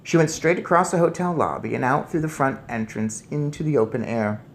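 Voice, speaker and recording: A man's voice: medium pitch, fairly quick, vocal fry, slight background noise. A man narrating a children's story expressively as he reads aloud. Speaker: storybook reader.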